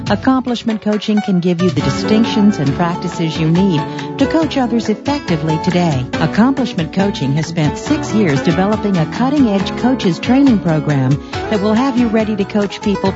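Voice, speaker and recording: Female announcer, scripted commercial read, medium pitch, music bed, slightly muffled. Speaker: radio announcer. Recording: dull audio over music bed.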